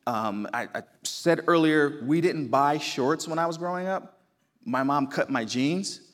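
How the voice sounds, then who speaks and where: A man in his forties with a South Jersey American accent, preaching a sermon in a conversational, folksy storytelling way. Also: on the high side. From New Jersey, United States. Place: church sanctuary.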